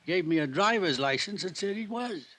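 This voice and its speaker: A man's voice: sits high, animated, normal speed, fairly bright tone. A man playing a defensive, argumentative pawnbroker in 1950s radio drama style. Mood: indignant. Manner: argumentative, rhetorical questions. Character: defensive, irritable pawnbroker being questioned.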